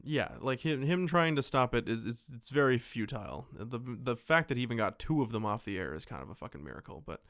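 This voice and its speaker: A man's voice: mid-range voice, animated, fairly quick, few pauses, fairly bright tone, no echo, clean studio sound. A man co-hosting a podcast, chatting casually about films in a rambling, jokey way with some swearing. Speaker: podcast co-host. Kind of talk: casual film discussion chat.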